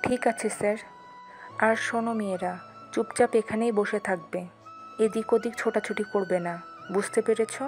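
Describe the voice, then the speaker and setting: A woman's voice: medium pitch, steady tone, moderate pace, pauses often, a little mellow, faint music behind, lightly acted. Composed, firm woman teacher acted by a woman. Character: female university teacher, composed, kindly but firm.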